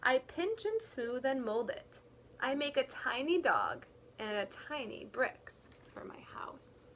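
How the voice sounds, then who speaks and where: A woman teacher reading a picture book aloud to young children, expressively and deliberately, pausing to explain things in simple words. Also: high voice, big pitch swings, fairly bright tone, slight background noise. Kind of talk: read-aloud storytelling with explanatory asides.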